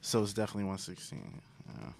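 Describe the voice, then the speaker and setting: Brief: man host, easygoing, gravelly low voice, noisy room. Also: sing-song, fast. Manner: easygoing, reassuring. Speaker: podcast host. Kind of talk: casual chat, setting up a recording.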